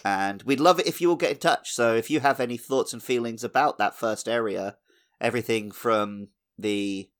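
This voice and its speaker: A man's voice: mid-range voice, moderate pace. Man in his thirties chatting casually. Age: 30s. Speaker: podcast co-host. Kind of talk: casual comedic gaming podcast chat.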